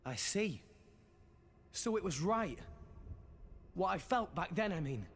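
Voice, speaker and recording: A man's voice: high voice, expressive, slow, slight background noise, exaggerated voice. An actor playing an earnest, plucky teenage boy salvager in a scripted video game drama, plain-spoken in manner. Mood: thoughtful.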